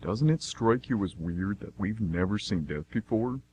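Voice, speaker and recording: A man's voice: on the low side, animated, moderate pace, darkish tone, a little hiss or hum, lightly acted. A man playing a sarcastic, skeptical and somewhat nervous friend who wisecracks, in an amateur radio drama. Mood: skeptical, uneasy.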